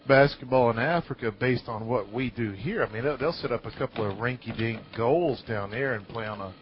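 Man in noisy, lo-fi casual talk. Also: mid-range voice. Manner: halting, repeats words. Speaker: talk show participant. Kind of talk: casual live talk, telling anecdotes. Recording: dull lo-fi audio with clear noise.

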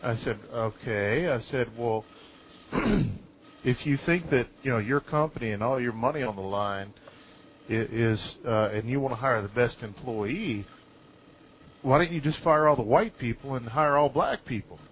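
A man talking casually on a live talk show, telling anecdotes in a halting way and often repeating words. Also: mid-range voice. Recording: dull lo-fi audio with clear noise.

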